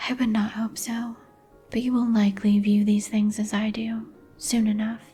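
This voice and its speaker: A woman playing a melancholy, courteous vampire in a breathy whisper, with formal, old-fashioned phrasing for an ASMR roleplay. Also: medium pitch, level tone, measured pace, mellow. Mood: gloomy, cautioning. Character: melancholy, courteous vampire woman, turned young some 150 years ago. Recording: music behind the voice. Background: music.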